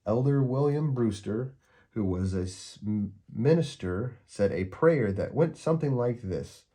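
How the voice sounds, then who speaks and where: A man's voice: medium pitch, lively intonation, measured pace, warm tone, slightly rough. A man voicing an earnest, helpful young boy who speaks solemnly in a storybook reading. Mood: solemn, reciting.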